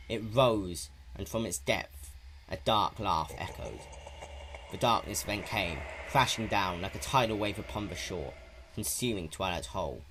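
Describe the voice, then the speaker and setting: A man with a fairly low voice narrates a story dramatically. His pitch moves around widely, and there is faint noise in the amateur recording. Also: a bit bright, low-quality recording.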